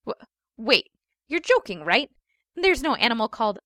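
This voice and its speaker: A woman voicing an earnest, gullible young female rookie in a story reading, hesitant and halting. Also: on the high side, big pitch swings, bright, forceful tone, no room sound, clean studio sound. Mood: doubtful.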